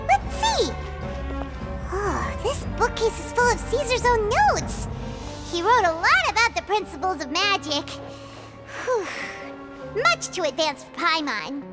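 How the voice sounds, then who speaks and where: Tiny childlike game fairy, very high squeaky voice, lively intonation, excitable, exclamatory. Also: female voice, slow pace, pauses often, over loud music, heavily acted. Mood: excited. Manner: refers to herself by name, exclamatory. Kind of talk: video game voice acting.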